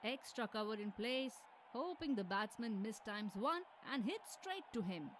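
The keen commentator of a cricket video game, high-pitched over clear stadium noise. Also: female voice, expressive, measured pace. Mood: measured, analytical. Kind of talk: scripted sports game commentary. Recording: game stadium ambience behind. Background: game ambience.

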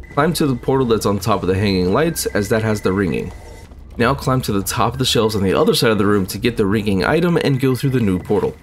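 A man narrating a video game walkthrough in a brisk, matter-of-fact, instructive way. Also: medium pitch, a little hiss or hum. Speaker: gaming channel host.

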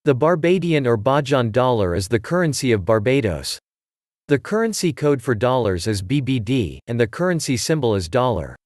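A man narrating an educational video, reading out facts and figures in an even manner. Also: mid-range voice, normal speed, no echo, clean studio sound.

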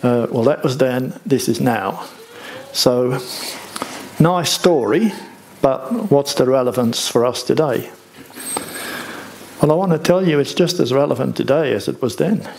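An older man, preaching a sermon from a church stage in a conversational, unhurried way with rhetorical questions. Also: mid-range voice, expressive, gravelly, a little mellow, rough recording.

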